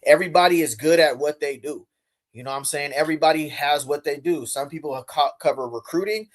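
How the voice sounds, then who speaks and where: A man in casual live-stream chat, speaking a little high with a lively, slangy delivery. He swings between loud bursts and quiet asides. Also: fairly quick.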